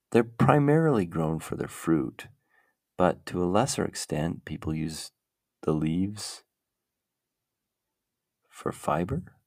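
Deep gravelly man, slow rambling chat. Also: darkish tone, no room sound, clean studio sound. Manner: meandering, muses aloud while browsing. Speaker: podcast host.

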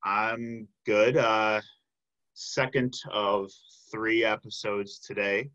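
Bright-voiced man hosting, lo-fi sound. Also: on the low side, flat delivery, slow. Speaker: podcast host. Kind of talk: casual interview chat.

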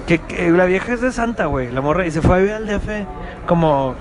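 A man's voice: animated, fairly quick. A man from Monterrey with a northern Mexican accent, hosting a casual podcast with friends. He is tipsy, jokes around and swears freely. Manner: loose and joking, swears freely, mimics friends in high squeaky voices. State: tipsy from drinking. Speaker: podcast host. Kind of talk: casual chat among friends.

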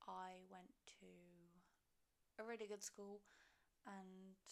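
A woman's voice: medium pitch, gravelly. A woman in her twenties from Poland, chatting candidly in a casual question-and-answer vlog, swearing freely and confiding in her viewers. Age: 20s. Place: her own home.

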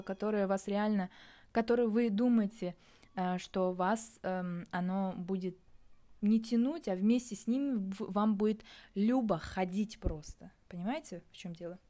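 A young adult woman vlogging in a casual reflective monologue, thinking aloud and pausing often to find her words. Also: medium pitch, dark tone. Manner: thinking aloud, hesitant with many pauses.

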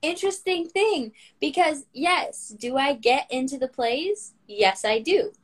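A woman's voice: high voice, expressive, measured pace. A teenage girl, a guest on a podcast, talking in a hesitant, self-deprecating way in casual chat.

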